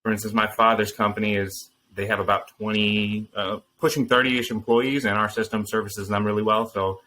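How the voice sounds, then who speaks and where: A man talking earnestly and thoughtfully as a founder guest in an interview, pausing with frequent ums. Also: on the low side, level tone, sharp tone, slight background noise, low-quality recording. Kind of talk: casual interview answers.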